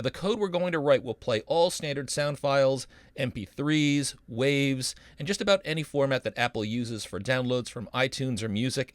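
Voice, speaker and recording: A man's voice: mid-range voice, no room sound. Upbeat man narrating a tutorial, dry sound. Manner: upbeat, folksy, playful asides. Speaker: programming instructor.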